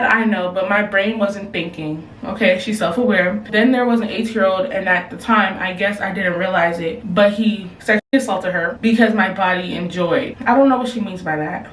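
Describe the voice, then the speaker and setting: A woman's voice: medium pitch, normal speed, far from the mic, slight background noise. Blunt young woman vlogging in a roomy space. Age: young adult. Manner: blunt, slangy, animated reactions. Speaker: advice vlogger reading submissions. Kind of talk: casual advice chat. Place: room at home. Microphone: camera, later phone.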